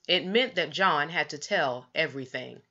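A woman narrating a children's book aloud in an expressive storytelling style, with deliberate emphasis on key words. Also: sits low, highs cut off.

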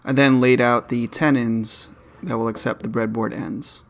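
A man's voice: medium pitch, fairly even intonation, moderate pace, gravelly. A man narrating a woodworking build step by step in a plain, matter-of-fact voice-over. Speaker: woodworking channel host.

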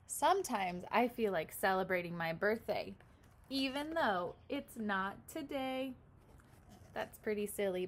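A woman in her twenties, a pre-kindergarten teacher, reading a picture book aloud and explaining it simply and expressively with questions for young children. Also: a little high. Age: 20s. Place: small room at home, indoors.

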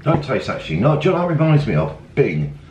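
A middle-aged man with a British accent talks straight into a camera held close to his face, reading snack labels aloud and tossing out quick asides. His voice rises and falls a lot. He is in an echoey home kitchen, with steady household noise behind him. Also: medium pitch, lo-fi sound. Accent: British English. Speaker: family vlogger. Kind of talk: casual family vlog, tasting snacks.

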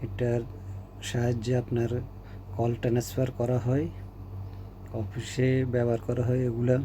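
A man with a Bangladeshi Bengali accent gives an informal, halting repair demonstration as a technician, often repeating phrases. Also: medium pitch, monotone, warm tone. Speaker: repair technician presenting a tutorial. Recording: noticeable background hum and noise.

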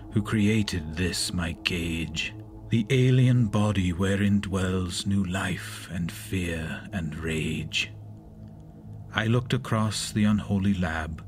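An actor playing an anguished reanimated monster, a man reciting his story gravely in measured horror verse. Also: low-pitched. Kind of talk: dramatic horror monologue.

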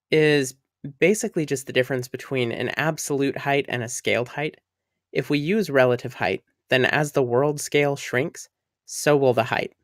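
A man narrating a screen-recorded software tutorial, explaining settings in a friendly, upbeat way. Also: mid-range voice, no room sound, clean studio sound.

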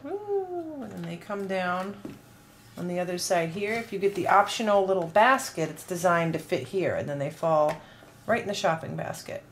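A woman with a slightly low voice talks through a toy demo, chatty and explanatory. The recording carries a clear layer of background noise. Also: animated, normal speed. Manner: chatty, explaining as she plays. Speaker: toy reviewer.

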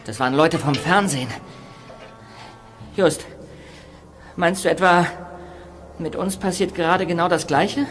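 An easygoing teen sidekick. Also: male voice, on the high side, measured pace, long pauses, fairly bright tone, faint music behind. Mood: casual. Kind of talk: radio drama acting.

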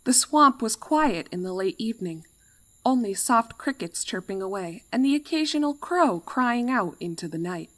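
A woman reading fan fiction aloud as an expressive storyteller. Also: on the high side, normal speed, rough recording. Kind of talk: expressive scripted story narration. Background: music.

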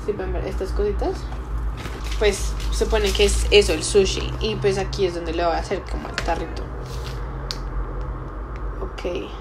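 A woman's voice: mid-range voice, fairly even intonation, moderate pace, pauses often, background noise. A young woman chatting casually and a little unsurely to the camera as she works at a table in a room. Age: young adult. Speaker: vlogger. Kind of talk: casual chat to camera while cooking. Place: small indoor room, at a table.

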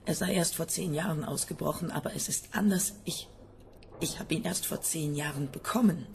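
An actress playing a sick, embittered scientist's wife in a radio drama, agitated, halting and insistent. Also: female voice, deep voice, gravelly, darkish tone, slight background noise. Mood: bitter, despairing. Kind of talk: German radio drama acting.

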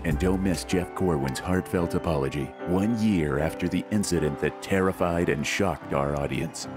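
An actor playing a punchy daytime TV promo announcer in an upbeat voice-over style. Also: male voice, low-pitched, normal speed, music in the background, lightly acted.

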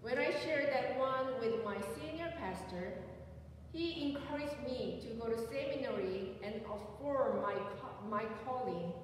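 A woman's voice: fairly high pitch, expressive, a little hiss or hum. A woman pastor in her forties with a Korean accent, preaching an earnest personal sermon from the pulpit in a church sanctuary. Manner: slow, earnest, personal testimony. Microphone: pulpit mic, recorded by a far camera. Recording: echoey sanctuary from far off.

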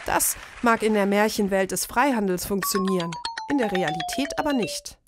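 A woman narrating a scripted explainer in a measured, matter-of-fact way. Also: mid-range voice, animated, music in the background, crowd noise.

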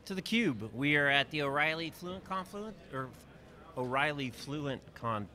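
A man's voice: fairly high pitch, animated, a little slow. A middle-aged man hosting a live conference interview, upbeat and chatty, often restarting his questions. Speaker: interview host. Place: busy hotel conference hall, indoors. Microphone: handheld mic. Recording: noisy crowd in a conference hall. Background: crowd chatter.

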